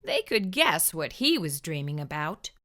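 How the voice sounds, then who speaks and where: A woman hosting a children's storytime, reading a picture book aloud in an expressive narrating style. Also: low-pitched, unhurried. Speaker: children's storytime host and reader.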